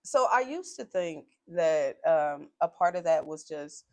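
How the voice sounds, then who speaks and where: A woman's voice: sits low, animated, a little slow, a little mellow. A woman speaking in a strong voice, making her points assertively in a podcast conversation. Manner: assertive, emphatic, builds points in lists.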